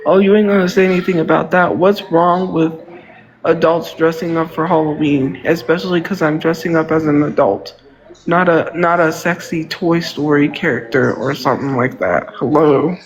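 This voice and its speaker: A man's voice: on the high side, fairly even intonation, normal speed, poor audio. A man in his thirties with a congested nose, rambling and venting in casual livestream chat. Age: 30s. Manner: rambling, venting, repeats himself.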